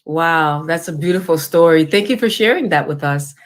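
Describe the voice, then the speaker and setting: A woman's voice: low voice, moderate pace. A woman hosting an interview show, effusive and formal, with many compliments and blessings. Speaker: talk show host.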